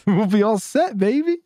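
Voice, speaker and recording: A man's voice: high-pitched, warm tone, dry recording. A man hosting a podcast, chatting casually into a close microphone. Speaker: podcast host. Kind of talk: casual chat. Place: indoor room, close studio mic. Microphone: microphone on boom arm, headset.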